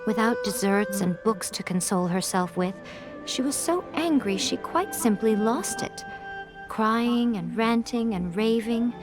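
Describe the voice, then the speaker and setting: A woman's voice: on the high side, measured pace, lightly acted. A woman playing a sly, poised, teasing shrine priestess in a video game, with coy and eloquent phrasing. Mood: mock sympathy. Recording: music playing behind.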